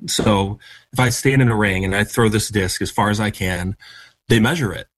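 American guy in his 30s, kinda husky lowish voice, earnest and thoughtful answering an interview. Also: normal speed, rough recording. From United States (Michigan). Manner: earnest, reflective, with fillers and restarts. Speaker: interview guest, athlete.

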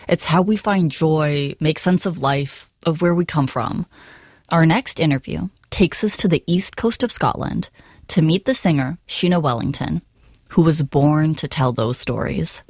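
A woman hosting a radio programme, reading a scripted introduction in a warm, even tone. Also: low-pitched, no echo, clean studio sound.

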